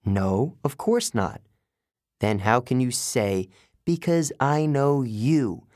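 A man voicing a smart, confident girl camper who explains things earnestly, in a higher voice than his own. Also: lively intonation, slow pace, a little mellow, no room sound, studio quality.

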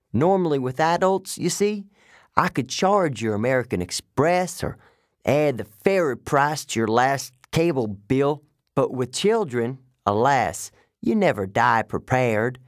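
A man voicing a suave, condescending underworld ferryman with a British accent and a smooth, cold, sardonic drawl in a storybook reading. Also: medium pitch, lively intonation, a little slow, dry recording, studio quality, natural voice. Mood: mocking, intrigued.

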